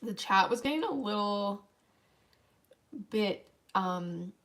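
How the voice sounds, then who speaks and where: Raspy-voiced young woman streaming late at night. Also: medium pitch, lively intonation, takes it slowly, fairly bright tone. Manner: rambling, chatty, with frequent fillers and tangents. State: tired, voice wearing thin late at night. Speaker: livestreamer. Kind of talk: late-night casual stream chat, reading chat aloud.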